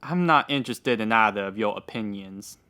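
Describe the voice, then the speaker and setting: A man's voice: on the low side, lively intonation, brisk, sharp tone. A man playing a greedy, hard-hearted land grabber in an old-time radio western, curt, stern and unyielding. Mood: cold, irritated.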